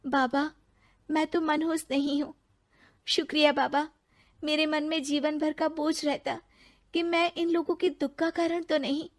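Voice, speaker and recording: A very high, youthful female voice put on by a storyteller for a devoted daughter-in-law. She speaks humbly and earnestly, always pleading to be understood, in the style of a dramatised moral tale. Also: little pitch movement, measured pace. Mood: relieved.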